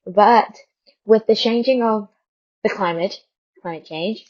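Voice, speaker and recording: A woman from Thailand presents a radio update in a casual, conversational style. Her voice sits at a middling pitch, and she rambles a little, repeating words to stress them. The audio is somewhat dull and lo-fi. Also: takes it slowly. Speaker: radio host.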